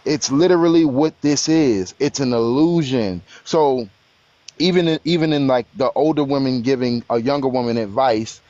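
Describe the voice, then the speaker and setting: A man's voice: mid-range voice, moderate pace, a little mellow, no room sound, highs cut off. A man in his late twenties on a live stream panel, explaining his views earnestly with examples and frequent restarts. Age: 20s. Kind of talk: casual panel talk. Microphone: live stream call.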